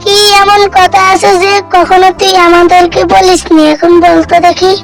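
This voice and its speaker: A woman as a little sister, very high voice, monotone, coaxing, whiny, timid, children's cartoon. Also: moderate pace, gravelly, music in the background. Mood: curious. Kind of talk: children's fairy-tale cartoon dub.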